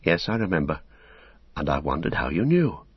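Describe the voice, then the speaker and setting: A man voicing a weary, cautious, gentle male hobbit in a storybook, speaking in a hushed, measured and grave manner. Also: extremely low pitch, sing-song, breathy edge, dry recording, dull audio, full character voice.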